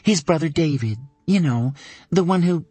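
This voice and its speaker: A man's voice: a little high, expressive, moderate pace, mellow, slightly rough, no echo, pitched above the performer's own voice. A man voicing an uneasy, guarded woman who answers tersely and defensively. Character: anxious, guarded adult woman, flustered by romance.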